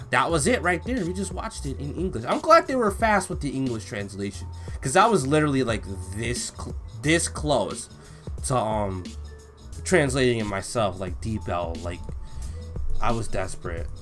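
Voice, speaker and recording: Animated young man gushing over background music. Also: medium pitch. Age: young adult. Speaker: reaction video host. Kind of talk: excited casual reaction commentary, reading manga aloud. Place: home room with bookshelf, indoors.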